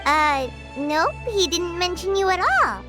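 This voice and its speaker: A woman voicing a tiny, childlike, cheeky fairy companion in a video game, squeaky and exclamatory. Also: big pitch swings, unhurried, sharp tone, soft background music, exaggerated voice. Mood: teasing. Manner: exclamatory, teasing, slangy.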